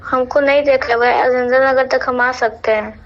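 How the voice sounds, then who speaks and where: A woman's voice: high voice, fairly even intonation, normal speed. Young Bihari woman on a muffled phone call. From Patna, Bihar, India. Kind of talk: phone conversation, casual answers.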